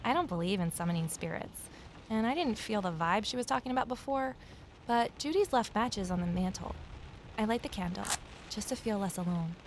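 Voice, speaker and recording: A woman's voice: mid-range voice, expressive, brisk, a little hiss or hum, close to an everyday voice, poor audio. An actress playing a guarded, lonely amateur sleuth who narrates her own mystery in an introspective audio drama style. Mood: wistful.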